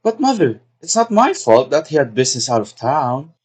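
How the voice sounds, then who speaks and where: A woman's voice: very deep voice, sing-song, normal speed, darkish tone, slightly rough, heavily acted. A woman playing a hostess's timid, dutiful young daughter in a comic stage play, meek and reasonable. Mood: protesting meekly.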